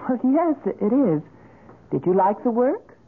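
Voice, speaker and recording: Kind, earnest friend, old radio sound. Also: female voice, on the high side, very animated, unhurried, slight background noise. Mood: polite, curious. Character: kind, concerned woman gallery keeper, loyal friend. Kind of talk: 1970s radio drama acting. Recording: thin lo-fi old radio broadcast.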